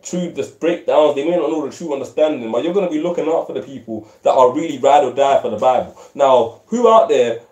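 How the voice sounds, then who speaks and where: Brief: male discussion leader, forceful preachy delivery, dark mellow tone, roomy far-camera sound. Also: mid-range voice, animated, fast, hardly any pauses. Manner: emphatic, preachy, repeats "that's right". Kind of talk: religious group discussion. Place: living room, men on a sofa. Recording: echoey room.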